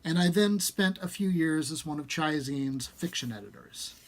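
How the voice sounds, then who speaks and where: A man presenting a book like an enthusiast publisher, part casual pitch and part reading aloud, with expressive, emphatic delivery. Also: normal speed. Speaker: small-press horror and fantasy publisher presenting a book.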